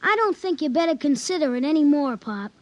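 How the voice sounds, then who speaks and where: An actress playing a spoiled, cagey, quietly defiant young boy in a 1950s radio drama. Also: high-pitched, lively intonation, fairly quick, dry recording, muffled recording. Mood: cool defiance. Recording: noisy vintage 1950s radio broadcast.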